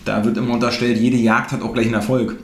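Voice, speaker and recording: A man's voice: mid-range voice, little pitch movement, quick pace. A man from northeastern Germany hosting a podcast, talking casually with lots of fillers and tag questions. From Mecklenburg-Western Pomerania, eastern Germany. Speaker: podcast host. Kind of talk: casual conversation. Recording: roomy with faint noise.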